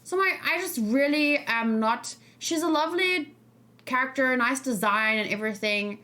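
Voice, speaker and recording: Female streamer, fairly high voice, casual and rambling, reacting and discussing off the cuff. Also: moderate pace, pushed and bright. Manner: rambling, thinking aloud, hesitant. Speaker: streamer host.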